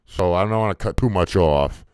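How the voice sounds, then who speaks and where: A man with a deep voice, talking loosely through a music mixing tutorial with fillers and casual swearing. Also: normal speed, a little mellow, smooth, no echo. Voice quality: deep voice, muffled by a faulty mic input. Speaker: music producer teaching mixing. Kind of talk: casual screen-recorded tutorial. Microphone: desktop mic into recording software.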